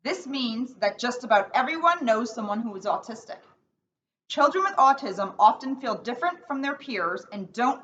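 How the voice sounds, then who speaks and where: A woman's voice: a little high, fairly bright tone. A woman police officer reading a children's book aloud indoors, in a storytelling style. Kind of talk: read-aloud storytelling with casual intro. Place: indoors in a borough hall seating area. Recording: distant mic, dull sound, faint noise.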